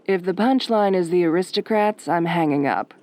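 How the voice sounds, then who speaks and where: Woman acting lowish, velvety late-night DJ. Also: moderate pace, natural voice. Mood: wry, teasing. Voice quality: soft, velvety. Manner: dry, teasing, sardonic. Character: velvety, wry, flirtatious female late-night radio DJ. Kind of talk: late-night radio host roleplay.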